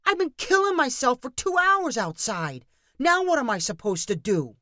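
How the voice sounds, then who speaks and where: A woman reading a story aloud, voicing a frantic, pushy male bargain hunter who shouts and pleads. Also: high-pitched, sing-song, moderate pace, dry recording, studio quality, pitched above the performer's own voice, full character voice.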